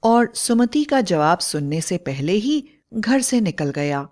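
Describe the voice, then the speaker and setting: A woman narrator tells a scripted tale. She takes it very slowly, at a medium pitch for a woman. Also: big pitch swings, darkish tone.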